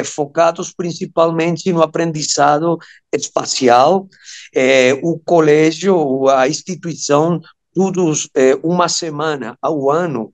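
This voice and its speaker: A Venezuelan man with a Spanish accent giving interview answers, haltingly searching for words in his second language. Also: a little high. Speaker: interview guest, amateur radio operator.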